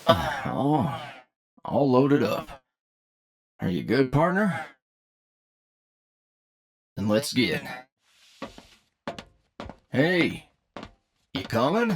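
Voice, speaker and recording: A man playing a gruff, wary crew leader in an audio drama, terse and folksy in his talk. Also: mid-range voice, sing-song, extremely slow, pauses often, a crowd in the background, exaggerated voice. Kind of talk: anime audio roleplay acting.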